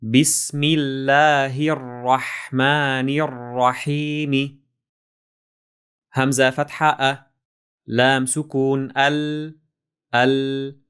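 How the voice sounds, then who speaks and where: A man teaching reading in a syllable-by-syllable spelling drill, with deliberate, precise enunciation and drawn-out syllables. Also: medium pitch, level tone, a bit bright, clear, resonant tone.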